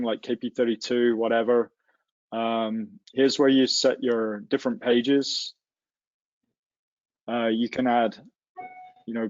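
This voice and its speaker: A man's voice: medium pitch, darkish tone, dry recording, lo-fi sound. A man teaching a live technical webinar, explaining things informally and step by step with hands-on examples. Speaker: instructor in a technical software tutorial. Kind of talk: casual live webinar walkthrough. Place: livestreamed online session.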